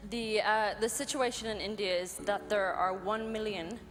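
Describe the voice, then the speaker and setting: A woman's voice: medium pitch, steady tone, moderate pace. A woman, giving personal testimony in short phrases. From Ireland. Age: 20s. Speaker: anti-trafficking worker, guest speaker. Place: large event hall. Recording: hall reverb, music behind, live PA. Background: music.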